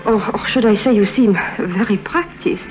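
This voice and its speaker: A woman's voice: medium pitch, normal speed, a little mellow, natural voice. A woman playing a young, guarded European princess in a vintage radio romance, speaking in a formal, hesitant manner. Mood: flustered. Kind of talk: 1950s radio romantic drama acting. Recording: dull vintage radio recording, music behind.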